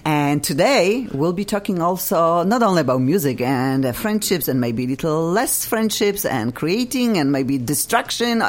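A woman from Poland with a Polish accent, hosting a radio talk show in a bubbly, effusive way and laughing often. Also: fairly low pitch.

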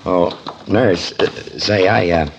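An actor playing an earnest, cautious, kindly police chief in 1940s radio comedy, speaking hesitantly on an old recording. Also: male voice, fairly even intonation, slow pace, muffled recording, background noise. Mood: sheepish. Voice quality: deep, gravelly.

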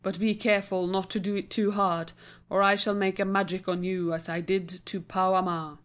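A woman reading a storybook aloud, voicing a stern old male magician who speaks in measured commands. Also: medium pitch, flat delivery, natural voice. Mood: commanding.

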